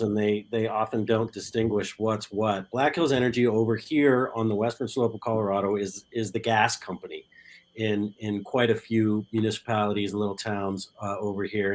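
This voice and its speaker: Brief: man, utility rep guest, a little hoarse from a cold, loose halting delivery, lower voice. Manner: casual, halting, with fillers and repeats. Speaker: utility company public affairs guest. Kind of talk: guest interview.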